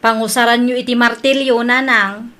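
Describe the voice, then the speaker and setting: A woman with an Ilocano accent playing a busy, concerned village wife in an amateur audio drama, speaking in a brisk, instructing way. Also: fairly high pitch, steady tone, pushed and bright. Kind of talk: amateur radio-style drama acting.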